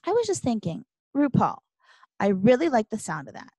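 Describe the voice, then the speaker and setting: A woman's voice: on the high side, huge pitch swings, moderate pace, darkish tone, no echo. A woman voicing a flamboyant, sassy and self-assured seventh-grade boy with dramatic emphasis, in an audiobook. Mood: breezy. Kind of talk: audiobook character dialogue.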